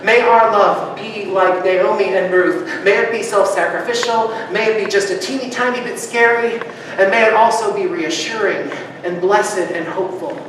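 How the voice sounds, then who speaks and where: A man preaching a sermon as pastor, moving between loud rousing calls and soft hushed reflection, joking easily with his congregation. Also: sits high, steady tone, normal speed, hardly any pauses. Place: church sanctuary. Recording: distant mic, strong room echo, background noise.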